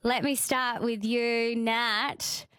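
An Australian woman hosting a talk radio show, brisk and upbeat as she moves the chat along. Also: fairly high pitch, level tone, a bit bright, clean tone. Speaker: radio host.

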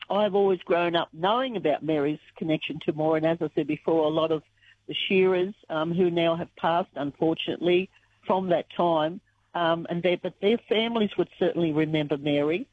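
A woman from Australia, croaky-voiced and earnest, talking emotionally in a radio interview. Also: lowish voice. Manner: earnest, emotional when recalling the past. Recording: tinny phone line.

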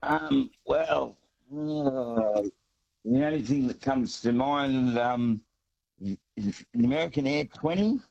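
A man calling in to a radio quiz, answering slowly and hesitantly. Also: medium pitch, gravelly, darkish tone, dull audio. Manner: slow, hesitant. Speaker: caller.

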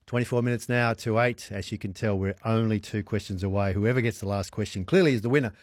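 A man's voice: fairly low pitch, fast, no echo, clean studio sound. An Australian man hosting a radio quiz, chatting and joking with callers on air. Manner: jokey, teasing, laughs often. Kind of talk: casual on-air chat with callers.

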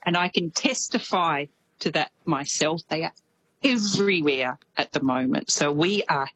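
A woman with an Australian accent, a New Zealand correspondent telling a news story in a lively, animated way. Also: sits low, normal speed, slightly rough, low-quality recording. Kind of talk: radio correspondent chat. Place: based in Dunedin, on a remote line to the studio.

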